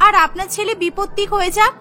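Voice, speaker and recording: Woman acting a very high-pitched brash cartoon bride. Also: fairly even intonation, fast talker, pushed and bright. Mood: defiant. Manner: demanding, melodramatic. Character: brash, whiny, stubborn young bride.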